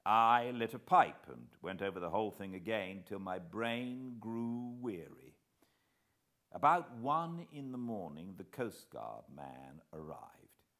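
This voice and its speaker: A middle-aged British man giving a measured, expressive dramatic reading of a story as an audiobook narrator. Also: lowish voice. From Britain. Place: living room armchair beside a fireplace.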